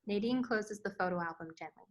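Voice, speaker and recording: A woman's voice: medium pitch, fast. A woman reading scripted stage directions as the narrator of a screenplay table read. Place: virtual table read over video call.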